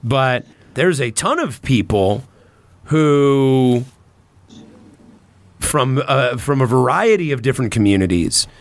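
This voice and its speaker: A man's voice: medium pitch, animated, slow, pauses often. A man talking casually on a podcast as a host, opinionated and emphatic in how he makes his points.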